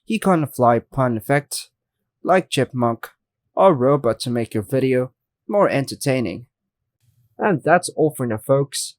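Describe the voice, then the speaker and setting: A man's voice: mid-range voice, animated, measured pace, a little mellow, no room sound. A man hosting a step-by-step tutorial, reading scripted instructions plainly in short segmented phrases. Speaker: tutorial host.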